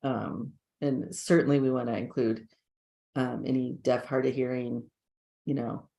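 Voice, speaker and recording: Trainer, casual discussion, relaxed, lots of fillers, mid pitch. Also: measured pace, vocal fry.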